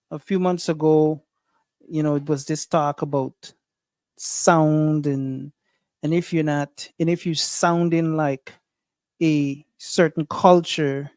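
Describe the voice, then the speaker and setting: Guyanese-accented man, livestream host, unhurried, clear and fairly high voice, lots of ums. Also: a little mellow, no echo. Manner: thinking aloud with many fillers and restarts. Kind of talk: casual livestream talk.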